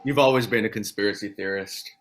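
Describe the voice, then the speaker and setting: A man's voice: fairly low pitch, fast, noisy background, close to an everyday voice. A man at a table read playing a worried, weary man surviving a disaster. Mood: thoughtful.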